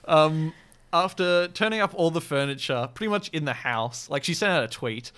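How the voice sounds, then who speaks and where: A man's voice: fairly high pitch, lively intonation, normal speed, fairly bright tone, clean tone. A man with an Australian accent co-hosting a podcast, chatting and telling stories in a wry, joking, rambling way. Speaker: podcast co-host. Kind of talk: casual comedic chat and storytelling.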